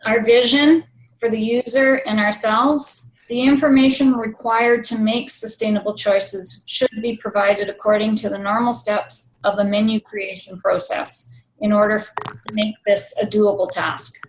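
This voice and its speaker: A woman co-presenting at an online meeting, reading her team's presentation in a measured way. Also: mid-range voice, steady tone, a little hiss or hum, rough recording.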